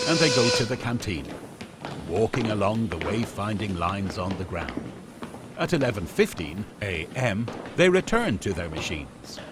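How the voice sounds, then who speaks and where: A man narrates a radio play in a fairly low voice. His pitch moves around in a lively way, and loud noise runs behind him.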